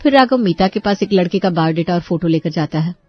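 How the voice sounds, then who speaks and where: Low-voiced woman narrating a story. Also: lively intonation, fast talker, dull audio.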